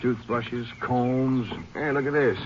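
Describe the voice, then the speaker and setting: An American actor playing an observant, plain-spoken Texas Ranger sidekick in a 1950s radio western. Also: male voice, medium pitch, steady tone, takes it slowly, natural voice. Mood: alert, inquisitive. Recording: muffled, faintly noisy 1950s radio recording.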